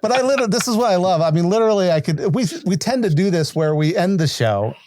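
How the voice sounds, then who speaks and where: A man's voice: sits high, expressive, quick pace, darkish tone, a little hiss or hum. An American man hosting a podcast, talking casually in a rambling, chatty, unscripted way. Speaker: podcast host. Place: small fenced-off area beside a living room at home.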